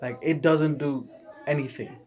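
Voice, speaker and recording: A man's voice: a little high, fairly even intonation, unhurried, a little mellow, highs cut off, a little hiss or hum. A young man from Saudi Arabia, talking casually as a podcast guest, loose and rambling with lots of "like". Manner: loose, rambling, many likes. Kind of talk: casual chat.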